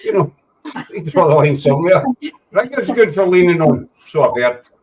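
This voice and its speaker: A man's voice: fairly high pitch, big pitch swings, moderate pace, a little mellow, low-quality recording. A Scottish man with a Glaswegian accent hosting a podcast, joking and rambling in casual banter on a video call. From Scotland. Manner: jokey, self-mocking, rambling. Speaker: podcast host.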